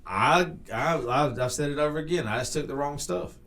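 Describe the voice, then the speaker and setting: A man's voice: fairly high pitch, normal speed, a bit bright. A man in his thirties with a Southern accent, chatting casually on a podcast. He talks bluntly and jokes with crude words. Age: 30s. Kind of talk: casual chat.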